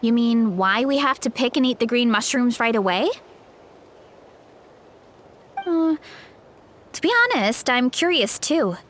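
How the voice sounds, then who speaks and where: A cheerful young tribeswoman from a video game, breezy and quick to laugh. Her voice sits high and moves lively up and down, with faint game noise behind. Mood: easygoing. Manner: upbeat, breezy, laughs easily. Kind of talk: game voice acting.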